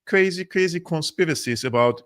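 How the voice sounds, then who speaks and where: A man from Aleppo with a light Armenian-Syrian accent, hosting an interview in a thoughtful, halting way. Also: fairly high pitch, expressive. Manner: thoughtful, halting, frequent restarts and fillers. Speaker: interview host. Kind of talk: conversational interview.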